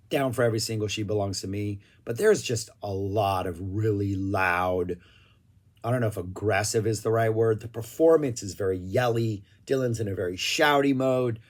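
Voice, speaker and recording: A man casually reviewing live concert recordings, opinionated and chatty, with a slightly rough voice and occasional throat clearing. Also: fairly low pitch, darkish tone. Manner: chatty, opinionated, loose with filler words. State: throat a little rough, clearing it now and then. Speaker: music review vlogger. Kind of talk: casual spoken album review.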